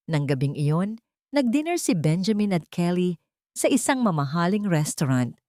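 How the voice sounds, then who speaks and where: A woman's voice: on the low side, warm tone, no room sound, studio quality. A woman narrating a scripted dramatic romance story.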